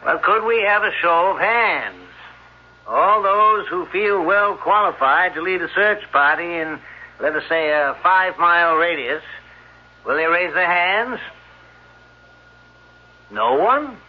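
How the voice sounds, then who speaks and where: A man's voice: sits high, expressive, takes it slowly, long pauses, heavily acted. An actor playing an earnest, mild townsman who tells the tale in an old-time radio drama. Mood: earnest, surprised. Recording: muffled vintage radio, faint music.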